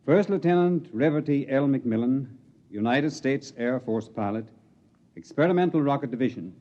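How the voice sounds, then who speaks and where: An actor playing a brisk, official Air Force colonel giving a formal statement in a 1950s radio drama. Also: male voice, mid-range voice, dark tone, lightly acted. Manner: formal, clipped. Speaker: military information officer. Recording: dull 1950s radio recording.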